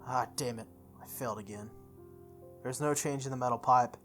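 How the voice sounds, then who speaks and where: A woman's voice: very deep voice, normal speed. A woman reading visual novel text aloud as a playthrough host, sometimes stumbling and re-reading lines. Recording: music behind the voice.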